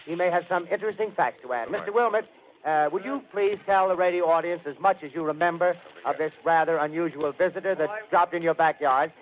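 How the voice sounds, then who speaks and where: A man's voice: on the high side, fairly quick, natural voice. Man as an earnest reporter on tinny old radio. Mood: hurried, insistent. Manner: formal, hesitant, searching for words. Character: earnest, eager male radio field reporter. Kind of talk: 1930s radio drama acting as live commentary. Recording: tinny, noisy old 1930s radio broadcast.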